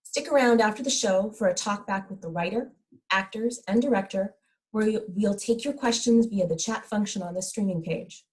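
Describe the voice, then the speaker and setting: A woman's voice: medium pitch, moderate pace, darkish tone, low-quality recording. A woman announcer reading a scripted welcome to introduce a theater production.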